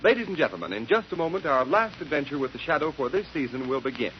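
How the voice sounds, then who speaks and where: A man's voice: fairly high pitch, lively intonation, fast talker, loud music behind the voice. A man announcing and selling in 1930s radio style, with formal, emphatic, declamatory delivery. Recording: muffled old radio recording.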